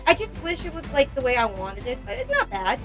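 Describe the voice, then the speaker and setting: A bright-sounding woman chats through a craft project in a rambling, self-deprecating way. Her voice sits fairly high and she breaks into exclamations, with light music behind her. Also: huge pitch swings, fairly quick. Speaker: doll customization video creator. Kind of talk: casual craft vlog narration.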